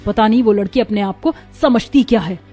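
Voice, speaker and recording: A woman's voice: medium pitch, a little fast, mellow, soft background music, natural voice. A woman voicing a snobbish, spoiled young college girl in a cartoon story, with a haughty manner. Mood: scornful. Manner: haughty, disdainful. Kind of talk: Hindi cartoon moral story acting.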